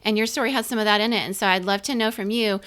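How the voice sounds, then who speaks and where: A woman's voice: mid-range voice, fairly even intonation, very fast, a bit bright. A woman raised in Central and South America, hosting a podcast interview with effusive praise and frequent restarts. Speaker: podcast host.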